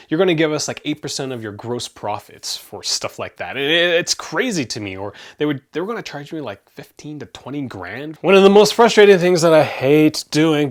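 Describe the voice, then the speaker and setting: A young man from Canada, hosting his own tech review channel, talking casually to camera with lots of fillers and self-corrections. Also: fairly high pitch. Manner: chatty, rambling, frequent self-corrections and fillers.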